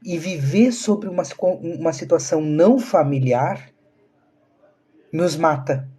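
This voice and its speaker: Husky man in his thirties. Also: fairly high pitch, slow, warm tone. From southern Brazil. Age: 30s. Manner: earnest, rhetorical questions, addresses viewers directly. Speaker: spiritual channel host. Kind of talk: casual live-stream talk to viewers.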